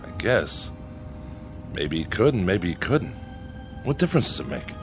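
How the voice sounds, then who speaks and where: Brash young sailor, lively pitch. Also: male voice, normal speed, over music. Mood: easygoing, friendly. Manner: folksy, slangy, blunt. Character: brash, easygoing young sailor hitchhiking back to his ship. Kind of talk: radio drama acting.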